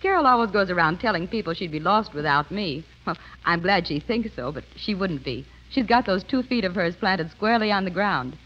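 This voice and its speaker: A woman's voice: fairly low pitch, expressive, brisk, hardly any pauses. A young woman answering as a guest in a scripted 1930s radio interview. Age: young adult. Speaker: interview guest, personal business manager. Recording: dull old 1930s radio recording.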